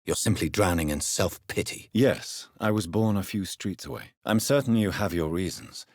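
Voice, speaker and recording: An actor playing a grave, educated gentleman doctor in a video game, stern yet courteous, with a husky voice and formal, measured diction. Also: deep voice, no echo, clean studio sound. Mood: courteous, reassuring. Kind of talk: dramatic video game dialogue.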